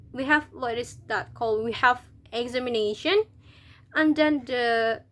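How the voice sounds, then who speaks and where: A woman's voice: fairly high pitch, fairly bright tone, slight background noise. A young woman from Indonesia with an Indonesian accent, a university student chatting to camera, hesitant and restarting as she searches for words. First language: local Indonesian language. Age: young adult. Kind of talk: casual video talk to camera.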